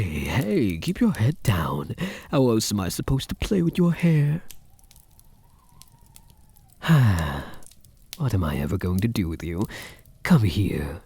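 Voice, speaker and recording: A man playing a possessive yet tender vampire count in a soft-spoken ASMR roleplay, murmuring intimately and often dropping into whispers. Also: fairly low pitch, breathy edge, no echo, full character voice.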